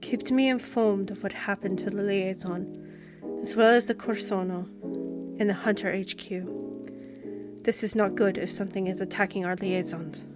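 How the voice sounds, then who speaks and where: Lady doing a vampire countess, slow n breathy, regal, kinda condescending but fond, whispery, fantasy audio drama. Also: medium pitch, steady tone, music in the background, lightly acted. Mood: soft, commanding. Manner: measured, regal, condescendingly affectionate. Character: poised, commanding young vampire countess, coolly indulgent.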